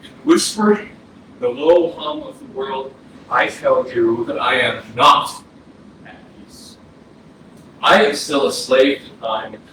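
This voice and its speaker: A man's voice: medium pitch, slow, pauses often, sharp tone. A male actor playing a dead young man, bitter and yearning to live, in a blunt, impassioned staged play reading. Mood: haunted despair. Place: arts center theatre, staged reading. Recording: distant, very echoey hall, noisy air conditioning.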